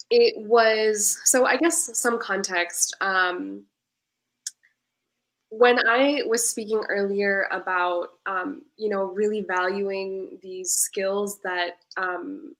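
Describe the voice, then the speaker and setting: A woman's voice: medium pitch, steady tone, slow, fairly bright tone, poor audio. Reflective young woman panelist. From Pakistan. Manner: reflective, many fillers and restarts. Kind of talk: panel discussion.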